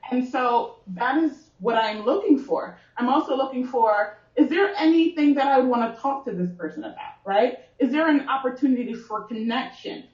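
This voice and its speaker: A woman success coach explains her approach in a conversational way, often checking in with the listener. Her voice sits a little high. She sounds distant from the mic in a roomy space, and the call audio is dull. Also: moderate pace, hardly any pauses. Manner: explaining, with frequent "right?" checks. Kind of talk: casual interview chat.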